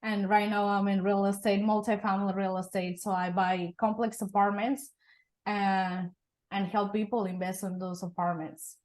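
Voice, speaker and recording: Woman, earnest interview guest, average pitch. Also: level tone, moderate pace, a little mellow. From Mexico. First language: Spanish. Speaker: guest, real estate investor. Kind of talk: interview storytelling.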